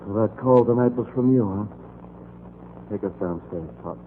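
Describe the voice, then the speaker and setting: A man's voice: on the low side, moderate pace, natural voice. An American actor playing a smug, menacing young henchman, sardonic and bossy, in a 1940s radio crime drama. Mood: smug, threatening. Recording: noisy, narrow-band 1940s radio recording.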